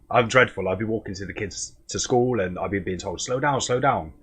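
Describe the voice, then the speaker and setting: A man with a low, husky voice hosts a casual podcast interview. He talks in a chatty, self-deprecating way. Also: normal speed. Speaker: podcast host.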